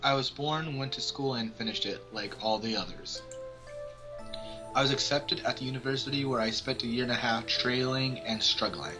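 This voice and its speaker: A man reads game narration aloud in a casual amateur let's-play. His voice sits at an average male pitch. Music plays clearly behind him. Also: normal speed, low-quality recording. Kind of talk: casual read-aloud of visual novel narration.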